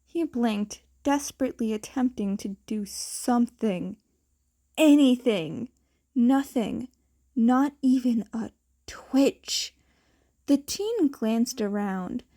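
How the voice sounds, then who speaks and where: Slow, mellow woman narrating a story. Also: a little high. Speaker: fan fiction narrator.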